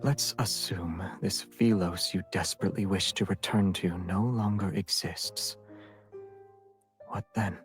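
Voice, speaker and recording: Game king: young, enigmatic, aloof, low register, measured delivery, music underneath. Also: fairly even intonation. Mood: cool, probing. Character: cool, enigmatic young king, guarded and teasing. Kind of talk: otome game voice acting. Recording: music running behind the voice.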